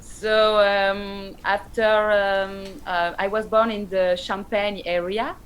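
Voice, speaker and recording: A woman's voice: mid-range voice, level tone, takes it slowly, a little hiss or hum. A woman in her thirties from France with a French accent, a native speaker of Arabic and French, answering as an interview guest and searching for words. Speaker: guest, independent pop rock musician.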